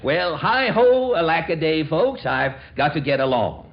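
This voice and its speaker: An actor playing a brash, boastful, backslapping suitor with a showy, jovial manner in a 1940s radio comedy. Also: male voice, fairly high pitch, expressive, unhurried. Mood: breezy, showy. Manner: pompous, jovial, showy, loud forced laugh. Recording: dull, noisy 1940s radio recording.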